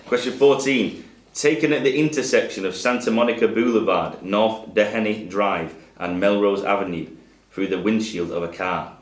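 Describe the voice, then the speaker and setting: A man reading out quiz questions in a measured way, stumbling over hard names, recorded by a camera across the room. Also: deep voice. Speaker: quizmaster. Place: pub function room. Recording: echoey room.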